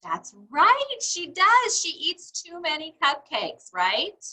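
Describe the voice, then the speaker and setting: A woman reading a storybook to young children, encouraging them and pausing for answers to her questions. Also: extremely high pitch, wildly swooping pitch, sharp tone. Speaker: read-aloud teacher for young children.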